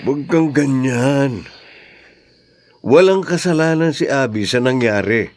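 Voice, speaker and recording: An earnest, protective man in a radio drama. His lively intonation carries concern and questioning, recorded close and dry. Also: mid-range voice, a little slow, no room sound. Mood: earnest reasoning. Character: Desto, concerned, reasoning, protective male friend. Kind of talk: Tagalog radio drama acting.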